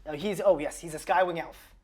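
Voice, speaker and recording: A woman player improvising her character in a tabletop roleplaying game, chatting casually in a wry, joking, halting way. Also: on the low side, crowd noise.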